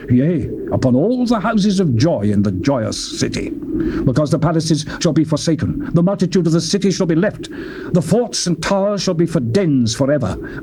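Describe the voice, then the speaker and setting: A man reading scripture aloud in a solemn, declamatory manner, with wide swings in pitch. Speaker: Bible reader. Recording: loud background noise.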